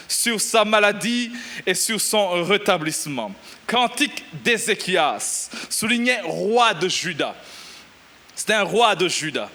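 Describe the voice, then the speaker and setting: High-voiced animated preacher man from Burundi. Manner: animated, exclaiming and shouting at peaks. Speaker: pastor. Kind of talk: sermon. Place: church.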